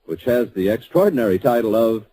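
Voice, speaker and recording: A man's voice: fairly low pitch, expressive, fairly quick, dark tone, slightly rough, poor audio. A man announcing an old-time radio program in a formal manner. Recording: old radio broadcast recording.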